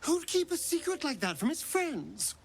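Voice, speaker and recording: Flamboyant, swooping, sly vampire. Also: male voice, very high-pitched, big pitch swings, moderate pace, gravelly, full character voice. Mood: mock outrage. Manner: arch, flamboyant, mock-innocent. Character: sly, flippant, theatrical male vampire rogue. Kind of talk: video game character acting.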